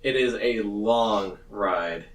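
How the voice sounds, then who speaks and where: A man casually improvising narration as a tabletop game master, pausing to search for words. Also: fairly low pitch, recorded from a distance, a little hiss or hum.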